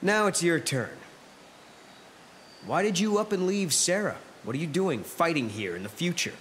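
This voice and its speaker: Casting: young game warrior, blunt, argumentative, highish and very animated. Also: male voice, normal speed, slight background noise. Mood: probing. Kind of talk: dramatic video game voice acting. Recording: loud game music behind the voice.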